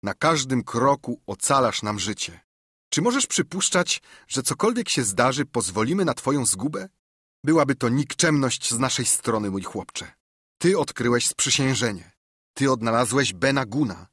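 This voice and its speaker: A man's voice: mid-range voice, big pitch swings, normal speed, fairly bright tone, breathy edge, no echo, clean studio sound, voice pushed up. A man voicing a kindly, earnest middle-aged doctor who pleads urgently, in an audiobook. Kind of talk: audiobook character reading.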